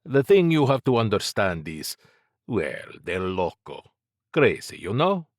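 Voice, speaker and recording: A man's voice: fairly low pitch, very animated, measured pace, no room sound, clean studio sound. A man voicing a wealthy, cigar-smoking fixer who talks in an expansive, wry, musing manner.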